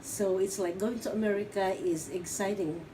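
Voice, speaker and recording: A middle-aged woman from the Philippines, answering oral history questions in a halting way with many fillers and restarts. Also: mid-range voice, steady tone. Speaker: interviewee. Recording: distant mic with background noise.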